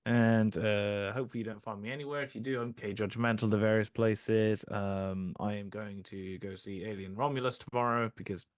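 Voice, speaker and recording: Male film podcast co-host, lower register, conversational delivery. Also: steady tone, normal speed, few pauses, dry recording, studio quality. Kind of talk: casual film discussion.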